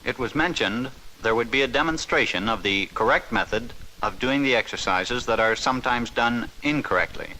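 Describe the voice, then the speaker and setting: A man giving a formal, measured, scripted narration in the style of a 1950s instructional film. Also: medium pitch, bright, forceful tone, a little hiss or hum, low-quality recording.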